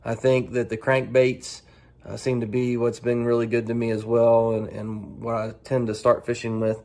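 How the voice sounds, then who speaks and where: A man speaking casually and haltingly as an angler answering a set of profile questions, restarting phrases as he goes. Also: medium pitch, little pitch movement, a little mellow.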